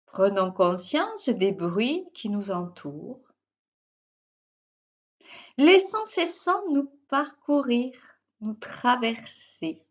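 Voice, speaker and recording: A woman leads a guided meditation. Her voice sits fairly high, and she speaks very slowly. She gives instructions in short, measured phrases with pauses between them. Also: sing-song. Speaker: yoga teacher guiding a relaxation session. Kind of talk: guided yoga nidra meditation.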